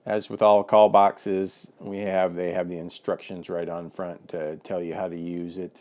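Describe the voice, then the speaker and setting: A man's voice: deep voice, little pitch movement, measured pace, darkish tone. A man giving a casual, plain-spoken product demonstration of an intercom, with lots of fillers and restarts.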